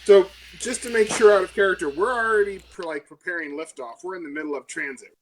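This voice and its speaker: A woman playing a tabletop game, chatting casually and role-playing, often halting and correcting herself. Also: fairly low pitch, slight background noise, low-quality recording.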